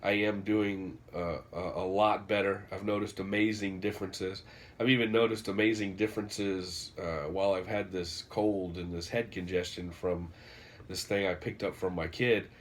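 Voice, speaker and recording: A man's voice: low voice, steady tone, moderate pace, slight background noise. A man, congested and nasal from a cold, casually rambling through a book recommendation in a vlog. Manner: rambling, many fillers and restarts. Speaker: vlogger reviewing a book.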